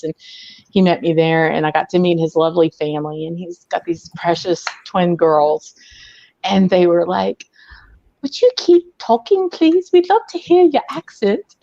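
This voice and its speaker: A woman's voice: lowish voice, darkish tone, no echo, lo-fi sound. A woman from Arkansas with a mixed Southern accent, a podcast guest telling stories in casual chat, with lots of ums and laughs. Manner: chatty storyteller with frequent ums and laughs.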